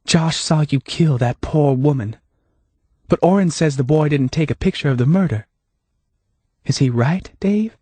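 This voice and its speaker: A man voicing a woman who speaks in a soft, measured, knowing way, calmly accusing, with a slightly husky voice. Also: a little high, no echo, voice pushed up. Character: calm, pitying woman, quietly accusing.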